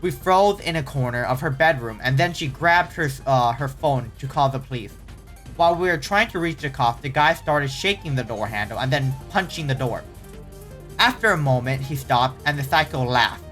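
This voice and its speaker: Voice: mid-range voice, expressive, normal speed, fairly bright tone, soft background music. Casual host reading horror stories aloud. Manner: stumbling, self-correcting reading aloud; easily startled, exclaiming reactions.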